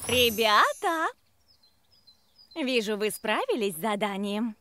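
Nice talking tree for kids, highish, super expressive, encouraging. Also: female voice, takes it slowly, dry recording, heavily acted. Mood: kindly, encouraging. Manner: encouraging, addressing children. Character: kindly female tree who encourages the young heroes. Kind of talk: children's cartoon acting.

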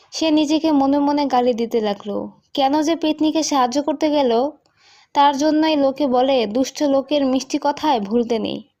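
A woman with a high voice narrates a folk tale for a cartoon. Her delivery is scripted storytelling. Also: darkish tone, muffled recording.

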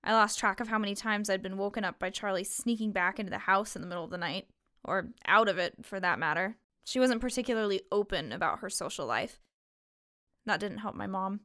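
A woman playing a guarded, grieving young waitress in a fiction podcast, speaking in a halting, matter-of-fact way. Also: mid-range voice, pushed and bright, dry recording, studio quality, lightly acted. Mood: subdued, explaining. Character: guarded young waitress grieving her missing sister, weary. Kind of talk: fiction podcast drama acting.

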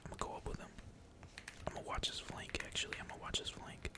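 Asmr gamer whispering the whole time, breathy, slangy, swears a lot, keyboard typing behind. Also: fast. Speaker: gaming video creator. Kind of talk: whispered ASMR gaming commentary, casual chat. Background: computer keyboard typing.

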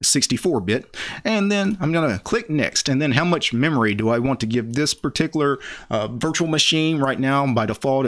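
An American man in his forties, a tech YouTuber calmly talking viewers through a software install step by step, recorded at a home studio desk on a boom-arm studio mic. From United States. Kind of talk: screen-recorded software tutorial. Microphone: large studio mic on boom arm.

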